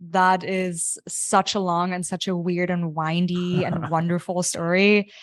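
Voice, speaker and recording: A young woman from Austria telling her own story as a podcast guest, candid and animated, often quoting her own past thoughts. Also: on the low side, measured pace, no room sound, clean studio sound. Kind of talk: casual storytelling.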